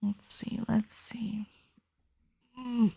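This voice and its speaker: A woman's voice: fairly high pitch, little pitch movement, drawn-out words, creaky, mellow, breathy edge. A woman livestreaming a game with casual, blunt commentary, thinking aloud and muttering to herself with the odd swear word. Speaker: livestream gamer host. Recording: game music behind the voice.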